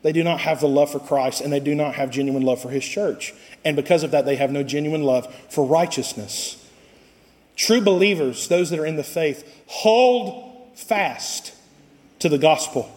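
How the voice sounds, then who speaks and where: A man preaching a passionate sermon as pastor, swinging from slow, low stretches to loud cries. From Costa Rica. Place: church sanctuary. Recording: long reverb of a church sanctuary.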